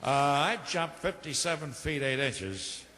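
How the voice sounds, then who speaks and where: A man playing a big, dim, self-satisfied champion athlete, deadpan and literal, in a radio comedy sketch. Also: a little high, measured pace, long reverb tail, slight background noise, heavily acted. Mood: deadpan, proud. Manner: deadpan, literal, explaining patiently.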